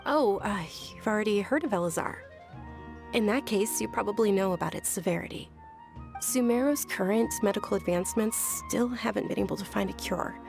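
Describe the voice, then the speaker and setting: A woman playing a gentle, frail young noblewoman in a video game, speaking softly and politely with earnest, measured delivery. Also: mid-range voice, creaky, over music, close to an everyday voice. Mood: calm, resigned.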